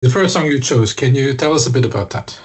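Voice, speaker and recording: A man hosting a radio interview, asking hesitant questions full of pauses and fillers. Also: medium pitch, lively intonation, husky. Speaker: radio show host.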